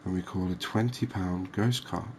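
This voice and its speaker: A man giving relaxed, unhurried, musing ASMR-style gameplay commentary, chatting to his viewers. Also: deep voice, slight background noise. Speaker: host of a cozy fishing game channel.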